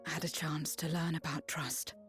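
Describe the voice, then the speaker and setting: A woman voicing a guarded, lonely young woman warrior in a game cutscene, speaking in a subdued, breathy half-whisper. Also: sits low, flat delivery, over music, natural voice. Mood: somber. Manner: subdued, reflective, measured. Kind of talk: video game cutscene dialogue.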